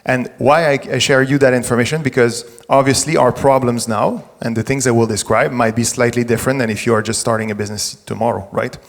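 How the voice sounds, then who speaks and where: A middle-aged French Canadian man addresses a live audience at a conference, talking easily and often restarting his phrases. His voice sits at an ordinary middle pitch. The sound carries the long reverb of a large venue hall. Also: steady tone, brisk, gravelly, hardly any pauses. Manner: conversational, with frequent restarts and self-corrections. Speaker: tech executive giving a conference talk.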